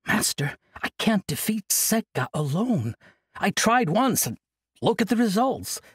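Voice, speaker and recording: A man's voice: high voice, sing-song, measured pace, breathy edge, no echo, clean studio sound, voice pushed up, heavily acted. A man voicing an earnest young hero who pleads with his old master. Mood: pleading.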